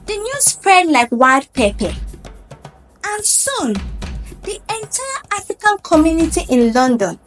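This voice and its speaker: A woman storyteller narrating a scripted folktale with deliberate, dramatic phrasing. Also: extremely high pitch, big pitch swings, over music.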